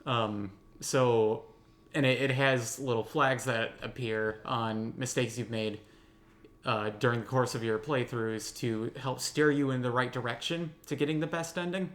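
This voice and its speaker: A man with a mid-range voice hosts a relaxed group discussion. He phrases things hesitantly and drops in wry little asides. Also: medium pitch, a little slow. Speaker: host of a roundtable podcast. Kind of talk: casual discussion.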